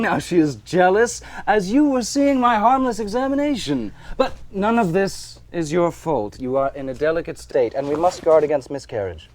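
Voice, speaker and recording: An actor on stage playing a smooth, condescending Victorian doctor and swindling showman, pompous and theatrical. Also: sits high. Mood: condescending, soothing. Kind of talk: period stage play acting. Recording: live theatre stage recording with music.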